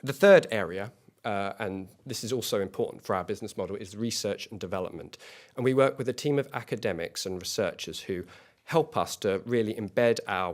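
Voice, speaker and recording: A man with a fairly low voice delivers a business pitch to a panel. He speaks earnestly and often stumbles into restarts and repeated words as he explains his company's work. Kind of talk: competition pitch. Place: event hall.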